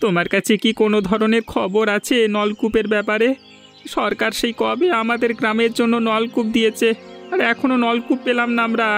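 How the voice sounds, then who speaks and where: A man's voice: very high-pitched, flat delivery, brisk, fairly bright tone, faint music behind. A man voicing an earnest, aggrieved villager in a fairy-tale cartoon. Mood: indignant.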